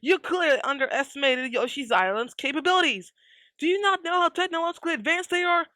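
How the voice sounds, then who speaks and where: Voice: high-pitched, fast, pushed and bright, dry recording, studio quality. An actor playing a stern, confrontational armed patriot who accuses a president in an amateur audio drama. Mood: accusing.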